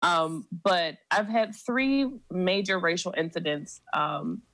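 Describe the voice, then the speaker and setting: A woman guest on a podcast, telling a personal story in a measured way with many pauses. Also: fairly low pitch, lively intonation, fairly bright tone, dry recording. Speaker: seminary student. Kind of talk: recounting a personal story in conversation.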